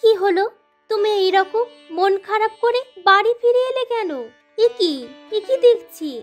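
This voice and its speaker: Woman doing a nagging cartoon wife, very high voice, whiny, faint music. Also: unhurried. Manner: whiny and questioning.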